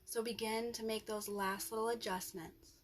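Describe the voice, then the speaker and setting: A woman leading a guided yoga nidra relaxation as the instructor, with gentle, soothing instructions and long pauses. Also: on the high side, fairly even intonation, slight background noise.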